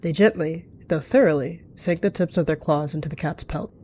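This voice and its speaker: Low-pitched woman narrating a roleplay. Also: fairly quick, mellow, slight background noise. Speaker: roleplayer narrating and voicing cat characters. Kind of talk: improvised third-person roleplay narration.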